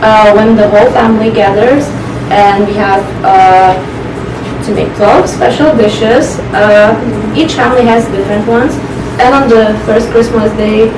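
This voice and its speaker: A young woman from Lithuania talks in a loose, chatty way, filling gaps with "like" and "uh". She sounds distant from the mic in a roomy, echoing space. Steady background noise runs underneath. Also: medium pitch, measured pace. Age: young adult. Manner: chatty, with frequent "like" and "uh" fillers. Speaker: exchange student guest. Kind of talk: casual chat.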